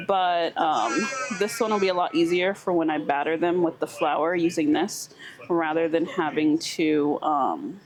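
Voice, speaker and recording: A young woman from California chatting casually with many ums while she cooks in her home kitchen. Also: on the low side. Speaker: homestead vlogger. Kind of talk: casual cooking vlog chat.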